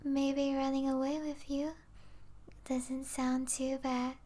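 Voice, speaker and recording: Slow, high-voiced seductive vampire princess, acted by a woman. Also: flat delivery. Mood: tender, possessive. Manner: slow, teasing, soft delivery with intimate whispers. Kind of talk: ASMR roleplay acting.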